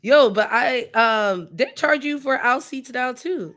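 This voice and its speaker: A woman's voice: fairly high pitch, expressive, measured pace, fairly bright tone. A woman streamer chatting casually and informally, with slangy phrasing, while she builds. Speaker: streamer co-hosting a Lego build.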